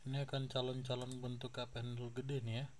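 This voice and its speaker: A man's voice: medium pitch, fairly quick, a little hiss or hum. A man hosting a stock channel, casually walking through charts with many fillers and a slight cough. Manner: relaxed, many fillers and tag questions. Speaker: stock market channel host.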